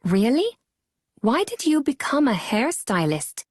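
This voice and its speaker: A woman playing a curious salon customer in a scripted textbook listening dialogue, asking lively questions. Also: on the high side, measured pace, no room sound, clean studio sound.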